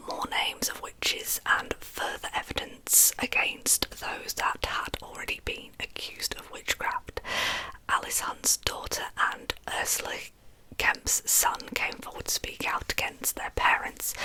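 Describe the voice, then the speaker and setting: A woman speaks in a soft, breathy whisper with a very bright, crisp edge and a lisp. She hosts in an ASMR storytelling style, keeping the delivery informal and chatty. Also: poor audio. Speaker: host of an ASMR true crime series.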